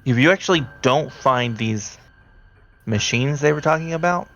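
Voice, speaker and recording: A man running a tabletop horror game as game master, talking in a halting, conversational way. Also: mid-range voice, fairly bright tone, soft background music.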